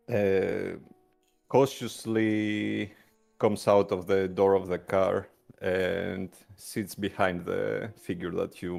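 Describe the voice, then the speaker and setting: A man playing a tabletop roleplaying game, casually improvising descriptions in a hesitant, halting way. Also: low-pitched, vocal fry, darkish tone. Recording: background music under voice.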